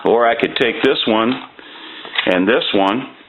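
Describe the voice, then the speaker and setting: A man's voice: on the low side, a little slow. A man giving a casual hands-on demonstration as a repair technician, explaining step by step in a plainspoken way. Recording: tinny phone-like band, loud noise.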